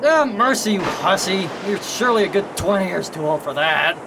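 An actor playing a ruthless, mocking pirate captain in a swaggering audio drama style. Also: male voice, normal speed. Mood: coldly mocking. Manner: swaggering, mock-courteous, barking orders. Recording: noisy audio with steady rumble.

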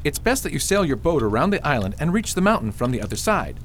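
Man playing an animated, plain-spoken old father. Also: a little high, fast, slight background noise. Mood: advising. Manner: matter-of-fact, advising, emphatic. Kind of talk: fantasy radio drama acting.

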